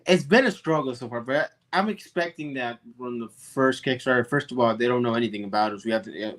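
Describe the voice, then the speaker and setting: A man from Puerto Rico, talking earnestly in a casual livestream chat, halting with fillers. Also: medium pitch, a bit bright. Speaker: comic co-creator.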